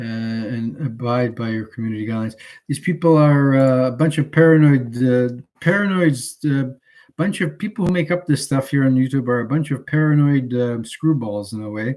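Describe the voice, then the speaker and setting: A man with a mid-range voice chats casually to a livestream. He talks in a halting, digressive way, thinking aloud as he goes. The delivery is that of an unscripted hobbyist showing off what he bought. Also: medium pitch, a little mellow. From Canada. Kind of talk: casual rambling livestream chat.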